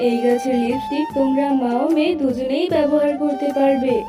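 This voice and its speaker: A woman's voice: high voice, steady tone, a little fast, roomy sound, distant mic, over music, natural voice. A woman voicing a benevolent magical talking tree in a children's cartoon, solemn and generous.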